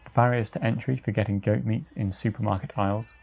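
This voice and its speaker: A man's voice: fairly low pitch, steady tone, fast, creaky, darkish tone. A man hosting a podcast, moving between scripted introductions and interview questions. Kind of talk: scripted narration and friendly interview.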